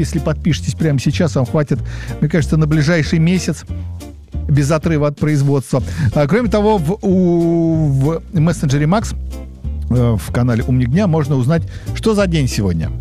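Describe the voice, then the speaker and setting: A male radio host with a somewhat raised voice, firing off quiz questions in a brisk, matter-of-fact way. Between rounds he slides into chatty, joking asides, with faint music beneath. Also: fairly high pitch, dark tone. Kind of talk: casual radio hosting, reading quiz questions.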